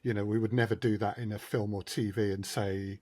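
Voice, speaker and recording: A man's voice: fairly low pitch, level tone, a little fast, mellow, dry recording. A man talking as a sound designer and podcast guest, thoughtful and wry, with frequent hesitations, in a casual discussion.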